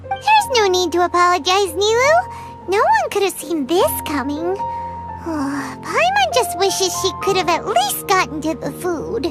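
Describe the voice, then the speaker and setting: Tiny floating female creature, blunt and chatty, third-person talker, very high squeaky voice, big pitch swings. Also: huge pitch swings, unhurried, exaggerated voice. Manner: talks about herself in the third person. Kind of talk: video game dialogue. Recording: loud game music behind.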